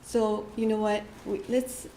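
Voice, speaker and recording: A woman giving a short inspirational church message, gentle and halting, often laughing at herself. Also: medium pitch, little pitch movement. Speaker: church speaker. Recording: echoey hall with faint room noise.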